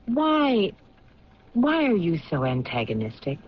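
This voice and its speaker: An actor playing a patient, probing homicide detective with an American accent and measured, hesitant questioning, in 1970s radio drama style. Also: male voice, sits high, wildly swooping pitch, exaggerated voice. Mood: earnest, probing. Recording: dull, noisy old radio recording.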